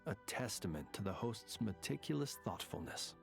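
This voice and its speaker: An actor playing a courteous, solemn gentleman in a video game, speaking in formal, ornate and measured phrases. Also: fairly low pitch, lively intonation, vocal fry. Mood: courteous, gracious. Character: courteous, solemn gentleman who lived alone in a cemetery. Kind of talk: scripted video game character dialogue. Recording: game music behind the voice.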